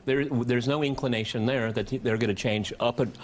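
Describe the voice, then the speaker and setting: A man's voice: mid-range voice, steady tone, normal speed. A man hosting and moderating a television panel discussion from a studio. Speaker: talk show host.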